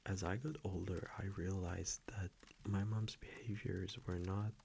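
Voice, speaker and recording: A man playing a gentle, confiding vampire boyfriend in an intimate ASMR roleplay, speaking hushed and hesitant and often slipping into a whisper. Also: deep voice, flat delivery, measured pace, creaky, a little hiss or hum. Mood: quietly confiding.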